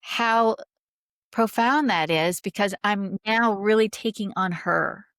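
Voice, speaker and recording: A woman's voice: medium pitch, slow, a bit bright, no echo, clean studio sound. A woman guest on a podcast speaking informally and earnestly as a healer, sharing stories and testimonials in a conversational way. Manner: earnest, informal, frequent "like" and "right?". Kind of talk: conversational storytelling, reading out testimonials.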